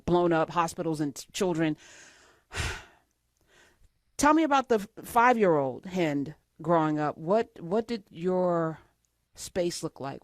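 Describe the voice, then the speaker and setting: A woman talk show host with a somewhat low voice leads the interview with impassioned, searching questions. The sound is dry and close, with no room around her. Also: darkish tone, dry recording. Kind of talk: interview hosting.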